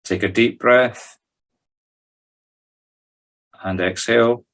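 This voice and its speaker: A man's voice: mid-range voice, fairly even intonation, drawn-out words, a bit bright. A Scottish man leading a guided meditation, talking listeners through each step in an informal, conversational way. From Scotland. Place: recorded in a group video call.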